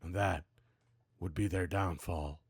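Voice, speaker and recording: Man doing a low, world-weary noir game hero. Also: fairly even intonation, slow, slight background noise. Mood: calm, resolute. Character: hard-boiled, world-weary male action-game hero narrating his story.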